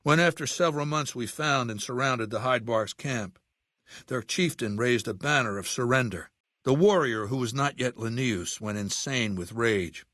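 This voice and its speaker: A man's voice: mid-range voice, animated, moderate pace, fairly bright tone, no room sound, lightly acted. Guy playing a middle aged warlord dictator in a game, commanding and scornful, lecturing, totally dry sound no room. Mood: cold, lecturing. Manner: commanding, articulate, contemptuous, lecturing. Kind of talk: video game voice acting, scripted monologue.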